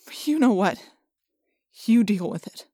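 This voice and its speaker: A woman's voice: mid-range voice, lively intonation, a little slow, warm tone, dry recording, clean studio sound. A woman voicing a stern, responsible, weary teenage boy leader in a storybook, with a firm, stubborn manner. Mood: weary, fed up.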